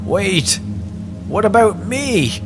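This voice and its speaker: A man's voice: sits high, expressive, very slow, music in the background, voice pushed up, exaggerated voice. A man voicing a frightened, injured teenage boy scout in a horror story, strained and pleading. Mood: panicked pleading.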